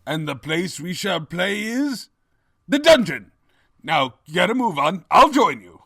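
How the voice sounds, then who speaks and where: A man's voice: high voice, very animated, unhurried, sharp tone, slightly rough, no room sound, studio quality, heavily acted. A man playing a pompous, scheming evil pony king in a theatrical, grandiose manner, in a fan fiction audio drama. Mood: grandiose, challenging.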